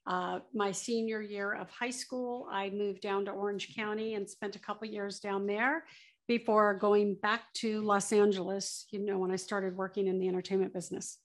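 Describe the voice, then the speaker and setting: A woman's voice: medium pitch, little pitch movement, normal speed. A woman from Southern California, a guest in an interview, talking candidly and chattily with many fillers. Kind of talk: casual interview chat.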